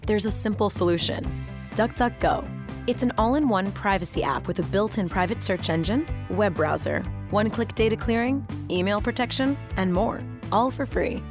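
A woman reads a scripted commercial in a mid-range voice. Clear music plays behind her throughout the read. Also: medium pitch, expressive, normal speed. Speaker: advertisement voice-over. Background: prominent music bed.

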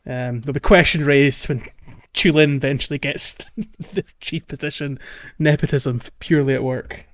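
A man's voice: a little high, steady tone, normal speed. A man co-hosting a podcast in casual, hesitant, meandering and amused chat, on slightly muffled audio. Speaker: podcast co-host. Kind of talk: casual chat about a video game.

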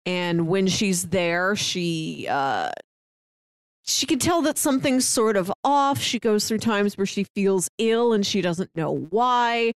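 A woman's voice: mid-range voice, lively intonation, takes it slowly, smooth, no room sound. A woman co-hosting a podcast, explaining things in casual, conversational talk. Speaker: podcast co-host. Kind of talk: casual film discussion.

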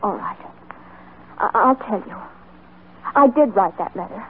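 An actress playing a worried, pleading frontier wife in a radio western, speaking earnestly and anxiously. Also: female voice, mid-range voice, animated, unhurried, husky. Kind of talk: old-time radio western acting. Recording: muffled, noisy vintage radio recording.

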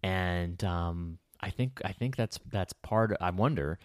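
Man, co-host, very low voice, reflective, thinking aloud, dry close sound. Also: slow pace, no echo. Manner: reflective, restarts sentences while thinking aloud. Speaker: podcast co-host and public speaker. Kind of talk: casual conversational chat.

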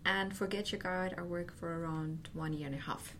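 A woman from Ukraine talks about her recruiting job in a relaxed, halting way, with frequent ums and a ready laugh. Her voice sits a little low. A faint rumble hums behind her. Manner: hesitant, many fillers, laughs often. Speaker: senior tech recruiter. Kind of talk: casual interview chat.

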